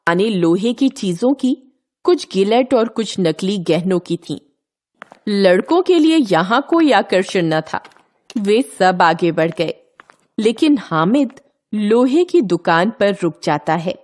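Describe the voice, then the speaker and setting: A woman's voice: mid-range voice, expressive, takes it slowly, a little mellow. A woman storyteller reading a scripted narration for a children's tale.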